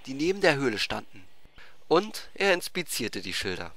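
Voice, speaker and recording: A man's voice: medium pitch, very animated, normal speed, a bit bright. A man narrating a comic fantasy tale in a theatrical, emphatic scripted style. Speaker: storyteller.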